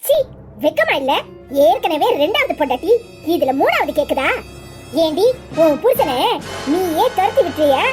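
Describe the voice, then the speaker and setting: A woman playing a sharp-tongued, suspicious village wife in a melodrama, scolding and taunting in a southern Tamil Nadu accent. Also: extremely high pitch, very animated, normal speed, music in the background. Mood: furious, accusing. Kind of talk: melodramatic village serial acting.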